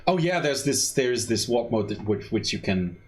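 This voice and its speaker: A man giving casual live speedrun commentary, explaining in a halting way. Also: mid-range voice, sing-song. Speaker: speedrunner commentating at a gaming marathon.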